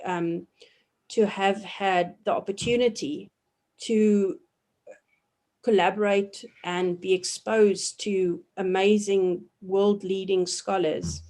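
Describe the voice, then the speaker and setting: A woman in her forties from South Africa, a professor giving measured, reflective answers in an interview, often pausing with ums and restarting her sentences. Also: medium pitch, fairly even intonation, mellow. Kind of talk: thoughtful academic interview answers.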